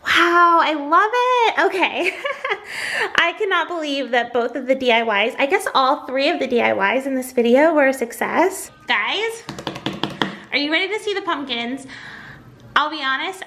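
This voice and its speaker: A woman chatting casually as a craft vlogger in a nasal voice, with a bubbly, expressive, gushing manner. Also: sits high, normal speed, a little hiss or hum. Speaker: craft and home decor YouTuber. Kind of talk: casual vlog chat.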